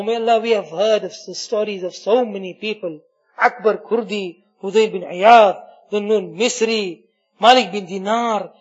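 Husky, pleading man in impassioned prayer. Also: high-pitched. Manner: pleading, repeats phrases and invocations; swings from hushed murmurs to loud cries. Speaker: religious leader leading a supplication. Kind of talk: impassioned spoken Islamic prayer. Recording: muffled, dull lo-fi audio.

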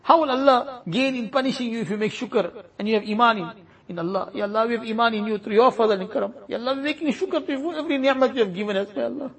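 A man leading a congregation in an impassioned supplication prayer, pleading and closing each plea with a soft trailing refrain. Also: very high voice, very fast.

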